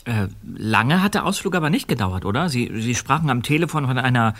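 An actor playing an inquisitive boy detective questioning a client in an audio drama, with a husky voice. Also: mid-range voice, lively intonation, fairly quick, a bit bright, a little hiss or hum. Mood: curious, probing.

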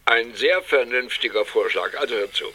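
Pompous, impatient professor, sharp voice. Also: male voice, mid-range voice, expressive, normal speed. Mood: pompous, lecturing. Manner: curt, self-important, lecturing. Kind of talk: German audio drama acting.

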